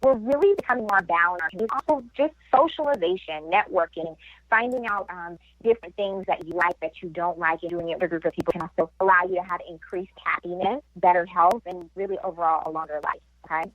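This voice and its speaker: A woman's voice: on the low side, fast, no echo, soft background music, low-quality recording. A woman guest on a radio talk show, giving health and wellness advice in an explanatory way, with frequent fillers and tag questions.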